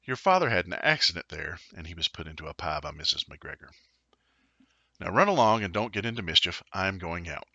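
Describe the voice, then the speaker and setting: A man voicing an old mother rabbit in a children's storybook, gently stern and admonishing as she instructs her young. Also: low-pitched, animated, brisk, vocal fry, no echo, dull audio, exaggerated voice. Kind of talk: children's storybook character reading.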